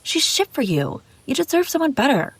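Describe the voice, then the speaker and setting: A woman's voice: high-pitched, big pitch swings, moderate pace, no echo. A woman playing an obsessive, scheming young babysitter in an intimate audio roleplay, pleading and defensive. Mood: disbelieving, desperate. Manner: pleading, defensive, hesitant then insistent. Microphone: close, dry microphone.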